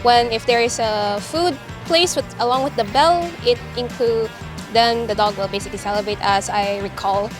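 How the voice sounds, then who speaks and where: A woman's voice: a little high, normal speed, music in the background. A young woman with a Filipino accent, chatting in an interview and often searching for words with a giggle. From Philippines. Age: young adult. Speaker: interviewee, psychology student. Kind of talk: casual chat. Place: coffee shop in a mall.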